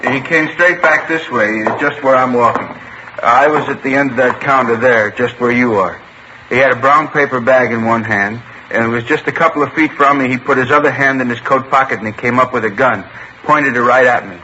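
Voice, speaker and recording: Actor as an older shopkeeper, bright and tense, earnest retelling, dull radio-drama sound. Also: male voice, medium pitch, a little fast, close to an everyday voice. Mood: recounting. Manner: earnest, step-by-step recounting. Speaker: robbery victim and witness. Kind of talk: 1950s radio crime drama acting. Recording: dull old radio broadcast with faint hiss.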